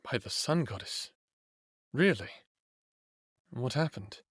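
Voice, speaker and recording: An earnest, idealistic human in an audio drama. His mid-pitched voice swings widely in pitch, recorded close and dry. He is protective and easily stirred. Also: slow pace, husky, no room sound. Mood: astonished. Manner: expressive, hesitant then impassioned.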